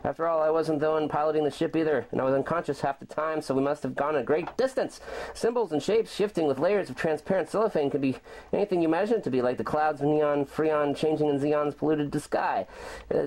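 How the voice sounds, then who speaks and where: A man giving an amateur dramatic reading of a science-fiction story, rambling and philosophical, with halting delivery. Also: on the high side, a little mellow. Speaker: author performing his own audio drama. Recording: very noisy amateur home recording.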